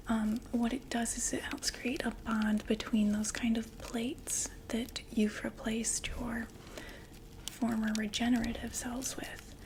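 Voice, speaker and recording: A young woman playing a female vampire door-to-door makeup saleswoman in an ASMR roleplay, whispering slow, gentle, chatty sales talk. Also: a little high, a little hiss or hum.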